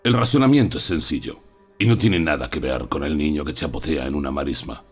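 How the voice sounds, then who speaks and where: Extremely deep, solemn old professor acted by a man. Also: lively intonation, fairly quick, husky, full character voice. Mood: earnest, persuasive. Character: solemn, persuasive older male professor confiding terrible truths. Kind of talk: dramatized audiobook acting. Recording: music under the voice.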